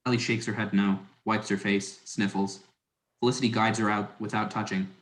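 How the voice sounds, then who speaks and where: Low-voiced man narrating in an echoey room. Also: flat delivery, moderate pace, a bit bright. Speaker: narrator reading stage directions. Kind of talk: scripted dramatic narration. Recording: roomy, echoing sound.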